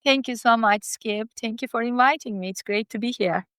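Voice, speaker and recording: A woman's voice: a little high, normal speed, fairly bright tone, clean tone, no room sound. A woman in her fifties or older with a Turkish accent, answering interview questions as a college dean. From Turkey. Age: 50s or older.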